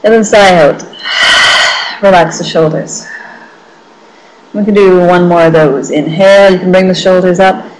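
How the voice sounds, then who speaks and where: A woman with a slightly low voice teaches guided meditation. She is gentle and permissive, letting her phrases linger and offering each instruction as a soft, reassuring invitation. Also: steady tone, moderate pace, poor audio. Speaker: meditation teacher leading a small group.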